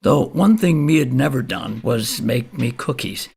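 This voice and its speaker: Gravelly, folksy older man. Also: mid-range voice, animated, measured pace, a little mellow. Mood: plain recollection. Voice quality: gravelly, husky. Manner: plain-spoken, folksy, rambling. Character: folksy older widowed homeowner, mildly grumbling neighbor. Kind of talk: monologue in audio comedy play.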